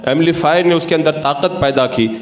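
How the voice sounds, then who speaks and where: Male cleric, slightly higher-pitched, formal and didactic religious lecturer, recorded with clear room echo. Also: brisk. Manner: didactic, methodical, formal Urdu-laden diction. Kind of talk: religious lecture, explanatory sermon. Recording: roomy, echoing sound.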